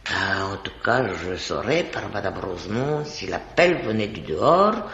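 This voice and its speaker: An actor playing an anxious hotel employee in a 1950s French radio mystery, speaking in a hesitant, confidential way. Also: male voice, fairly low pitch, very animated, a little slow, gravelly, a crowd in the background, full character voice. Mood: worried, confiding. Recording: dull, noisy, echoey vintage radio recording.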